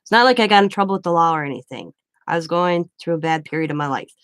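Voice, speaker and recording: A woman's voice: fairly low pitch, lively intonation, fast talker, fairly bright tone, smooth, no room sound. A woman from the Midwest, chatting casually and rambling while she crafts, laughing often. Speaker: craft vlogger.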